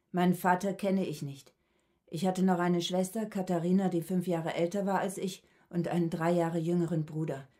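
Radio drama: actress as withdrawn female patient, thirties, low soft-toned voice, weary and reticent. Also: natural voice. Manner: quiet, halting, reticent, matter-of-fact. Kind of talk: German radio drama acting.

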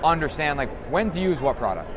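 A man's voice: on the high side, big pitch swings, fairly quick, a crowd in the background. A man in his thirties, a builder hosting the video, asking practical questions with some stammered restarts. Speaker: builder and show host. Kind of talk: interviewing a rep on camera. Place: large indoor trade show hall. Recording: noisy convention hall. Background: convention noise.

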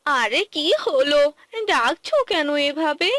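A woman voicing a worried, caring, expressive young farmer's wife in a cartoon. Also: sits high, takes it slowly, no echo, clean studio sound, exaggerated voice. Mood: scolding. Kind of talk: Bengali cartoon dialogue dubbing.

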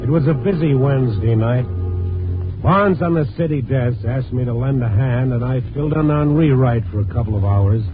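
A man's voice: medium pitch, moderate pace, close to an everyday voice. Old tinny radio show w music, guy acting a hard-boiled night beat reporter, wry and world weary, 50s style. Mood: matter-of-fact. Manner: lyrical, terse, hard-boiled. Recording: tinny 1950s radio recording.

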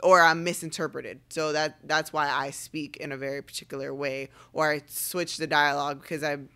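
A young woman speaking casually and assertively as a podcast guest, choosing her words deliberately. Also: deep voice, little pitch movement, bright, forceful tone, clean tone. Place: living room couch, indoors. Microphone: podcast microphone on boom arm.

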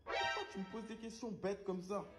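A young man performing comedy sketches, switching between characters in an animated way. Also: high voice, normal speed, loud music behind the voice. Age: young adult. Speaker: comedy creator. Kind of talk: comedy sketches, playing all roles. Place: small apartment room, indoors.

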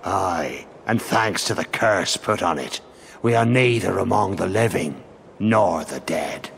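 An actor playing a menacing older pirate captain in a video game, sly and grandiose, with gravelly, theatrical pirate speech. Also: male voice, deep voice, a little slow, a bit bright, slight background noise, exaggerated voice. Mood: ominous. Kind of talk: dramatic video game acting. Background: game music.

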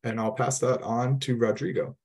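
A man's voice: mid-range voice, steady tone, moderate pace, vocal fry, darkish tone. A young man, a government relations coordinator, introducing himself on a video call with many fillers. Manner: fillers, trailing off.

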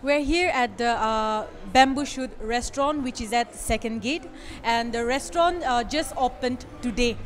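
A woman's voice: a little high, normal speed, music in the background. A young woman TV reporter interviewing a man in a restaurant, speaking conversationally with many fillers. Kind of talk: field news interview. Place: indoor restaurant. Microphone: handheld mic.

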